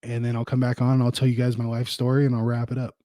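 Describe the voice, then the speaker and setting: A man's voice: mid-range voice, monotone, fast, mellow, no room sound. Casual, foul-mouthed man in a dry recording. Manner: profane, informal. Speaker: podcast host. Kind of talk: casual rambling monologue.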